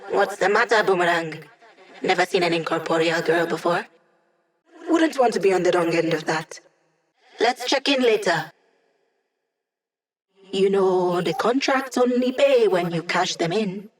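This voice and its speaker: A woman's voice: lowish voice, unhurried, long pauses, distant mic, natural voice. Game role, woman actor: young female hacker as a digital projection, teasing, sassy, sure of herself, dry sarcasm. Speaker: video game voice actress. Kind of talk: video game character voice lines.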